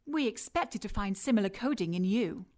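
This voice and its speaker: A woman's voice: mid-range voice, animated, darkish tone, natural voice. Cool, condescending woman scientist villain played by an actress. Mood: smug, probing. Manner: clinical, taunting, unhurried. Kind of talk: radio drama acting.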